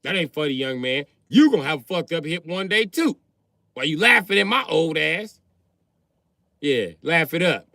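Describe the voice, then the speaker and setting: Middle-aged man, profane, rowdy rant, boastful, bright edgy voice, fairly high pitch. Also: no echo, clean studio sound. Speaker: livestreamer. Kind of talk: comedic livestream rant.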